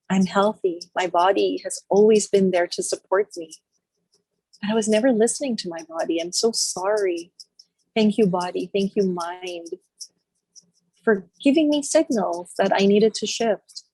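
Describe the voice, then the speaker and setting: A woman leading a guided healing meditation, speaking slowly and softly in short repeated phrases with long pauses. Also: mid-range voice, breathy edge, no room sound, poor audio. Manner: slow, soft, repetitive phrases with long pauses.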